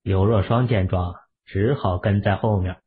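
A man with a raspy voice narrating an audio drama in a steady storytelling manner. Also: low-pitched, moderate pace, phone-quality sound.

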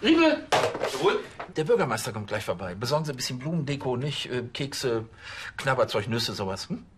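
An actor playing a fussy, eager-to-please police station chief, stammering and obsequious, in a TV comedy crime series. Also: male voice, medium pitch, big pitch swings, brisk, heavy background noise, close to an everyday voice. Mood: bossy, fussy.

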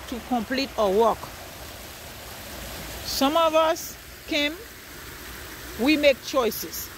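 A woman's voice: on the high side, fairly bright tone, slight background noise, rough recording. Earnest Haitian-accented woman preaching outdoors. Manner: earnest, preaching, repeats phrases. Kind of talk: outdoor spiritual monologue. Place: riverside at night, outdoors.

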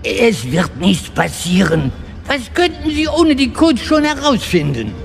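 An actor playing a nervous, placating alien underling in an audio drama, with hesitant delivery. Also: male voice, high-pitched, huge pitch swings, unhurried, vocal fry, soft background music, exaggerated voice. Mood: anxious.

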